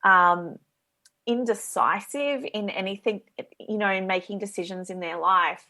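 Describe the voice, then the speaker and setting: A woman's voice: mid-range voice, a little slow, pushed and bright. A woman with an Australian accent, a guest coach speaking earnestly and eagerly in a radio interview.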